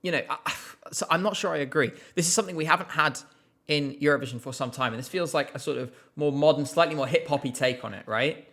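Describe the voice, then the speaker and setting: A man's voice: a little high, fast, fairly bright tone. A man hosting a casual live stream, chatting in a rambling way with lots of fillers and a slight cough. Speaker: livestream host.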